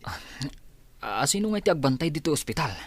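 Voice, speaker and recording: A man's voice: a little high, big pitch swings, fast. A man acting a helpful older-brother figure in an Ilocano radio comedy drama.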